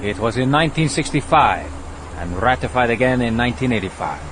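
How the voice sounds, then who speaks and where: An actor playing a stern, wary terrorist leader in an audio drama, terse and commanding. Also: male voice, medium pitch, animated, brisk, lightly acted. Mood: guarded. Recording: loud vehicle engine noise behind.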